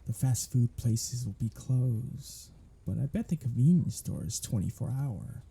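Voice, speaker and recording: A sardonic male vampire, played by a man in an ASMR audio drama, bantering and flirting at an unhurried pace. His voice is dark and gravelly, with a husky, breathy grain. The pitch swings freely as he teases. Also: animated, heavily acted. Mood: teasing.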